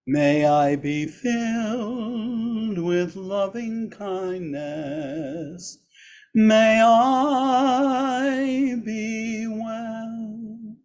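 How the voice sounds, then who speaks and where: A man with a raspy, hoarse voice leading a Buddhist service online, slowly intoning chants and gentle instructions. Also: high voice, faint music behind. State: hoarse after surgery. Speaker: Buddhist teacher leading practice. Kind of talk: leading a chanted metta practice.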